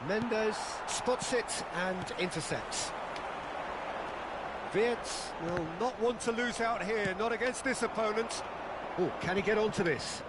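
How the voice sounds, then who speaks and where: A man commentates a football match in a video game, calling the play as it unfolds. His voice sits high for a man. Loud stadium noise runs behind him throughout. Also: takes it slowly. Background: football game stadium ambience, ball thuds.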